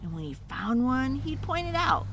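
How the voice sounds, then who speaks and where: A woman voicing an eager, pleading young child in an excitable, dramatic storybook read-aloud. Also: sits high, faint music behind, heavily acted. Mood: eager, boastful. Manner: wheedling, excitable, dramatic, drawn-out emphasis.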